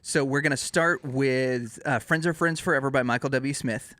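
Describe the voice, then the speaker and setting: A man with a very bright, forward voice chats casually as a podcast co-host. He is informal and enthusiastic, often jokey, and the sound is dry and close. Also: medium pitch, normal speed, no room sound. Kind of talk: casual chat.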